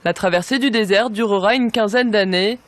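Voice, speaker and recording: Female narrator, mid-pitched, for scripted documentary voice-over. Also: fairly quick, dry recording. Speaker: narrator and reporter.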